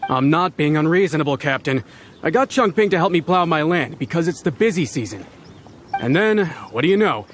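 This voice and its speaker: A man's voice: on the high side, expressive, fairly quick, a little hiss or hum, lightly acted. A man voicing a stubborn farmer who insists he is in the right. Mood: insistent. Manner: self-assured, insistent. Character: stubborn farmer man, sure of his claim.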